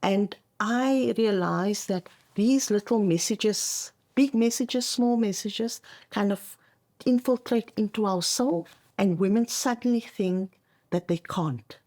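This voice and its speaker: A woman's voice: medium pitch, slow, mellow. South African woman, Afrikaans first language. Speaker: coach, interview guest. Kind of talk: conversational podcast interview.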